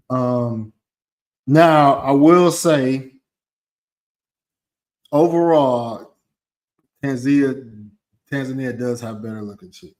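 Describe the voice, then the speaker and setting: A man's voice: medium pitch, a little mellow. A man vlogging casually about his travels, rambling with many restarts and repeated words, and swearing now and then.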